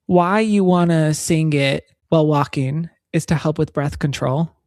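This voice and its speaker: A woman's voice: sits low, a little slow, no room sound. Woman co-host, dry close mic. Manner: relaxed, explains with examples. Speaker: podcast co-host. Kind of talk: casual chat. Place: recorded in Texas.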